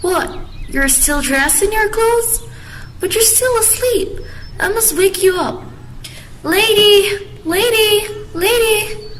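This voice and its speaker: Fussy nurse for radio play, male actor as a woman, piercingly high and exclamatory, faint noise behind. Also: a bit bright, exaggerated voice. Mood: teasing, coaxing. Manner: exclamatory, drawn-out calling. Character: fussy, teasing female nurse, loudly grieving in alarm. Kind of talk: amateur radio drama acting.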